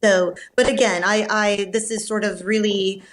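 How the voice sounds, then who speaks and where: A woman from Romania, a novelist guest answering podcast interview questions in a thoughtful, measured way with frequent fillers and restarts. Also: mid-range voice, fairly even intonation, a little hiss or hum, rough recording.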